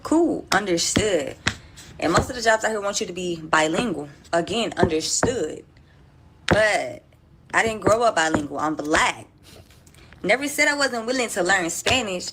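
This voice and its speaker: A woman's voice: medium pitch, expressive, normal speed, a bit bright, slight background noise, poor audio. A woman speaking in a social media clip, making a frustrated, pointed complaint.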